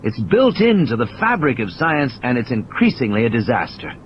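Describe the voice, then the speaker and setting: Male performer as injured cynical male scientist, irritable, preachy, emphatic, very animated pitch, audio drama, slight background noise. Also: moderate pace, highs cut off. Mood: bitter, weary. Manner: emphatic philosophical ranting in insistent repetitions.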